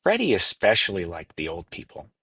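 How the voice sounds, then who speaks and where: A man's voice: deep voice, huge pitch swings, brisk, bright, forceful tone, husky, dry recording. A man narrating a storybook as a scripted read-aloud. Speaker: storybook reader.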